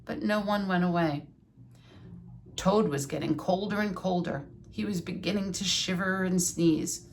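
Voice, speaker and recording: Older woman storyteller for young children: teacherly, unhurried, lightly gravelled voice, read-aloud with explanatory asides. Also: fairly low pitch, a little hiss or hum. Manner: deliberate, teacherly. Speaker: retired schoolteacher reading a children's book aloud.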